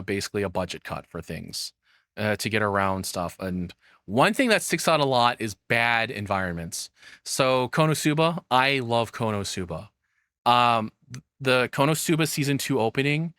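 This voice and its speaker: A man's voice: mid-range voice, bright, forceful tone, no room sound, studio quality. A man giving casual, opinionated commentary, rambling and swearing bluntly as he goes. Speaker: streamer reacting to a video.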